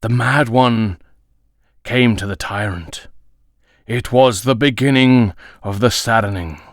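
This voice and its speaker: A man voicing a solemn old alien storyteller in a comic dub, grave and measured, telling legends with dramatic pauses. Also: medium pitch, dry recording, studio quality. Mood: grave, mournful.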